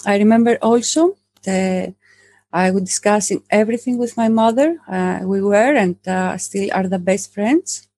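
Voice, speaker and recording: A woman's voice: mid-range voice, unhurried, warm tone, dry recording. Greek woman, guest on a podcast, lots of uh and restarts, dry close mic. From Greece. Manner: hesitant, many fillers. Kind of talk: casual interview talk.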